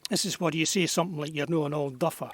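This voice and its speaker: High-pitched, grumbling old Scottish beekeeper played by an actor. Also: male voice, fast talker, no echo, natural voice. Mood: sheepish. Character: gruff, wistful old male beekeeper, stubborn and doting. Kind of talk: naturalistic audio drama dialogue.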